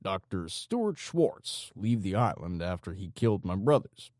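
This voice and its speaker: A man voicing a calm, sinister male psychopathic gangster in an audiobook, with menacing, mock-teacherly politeness. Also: fairly low pitch, measured pace, vocal fry, no echo, studio quality, exaggerated voice. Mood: angry, serious. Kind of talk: audiobook character dialogue.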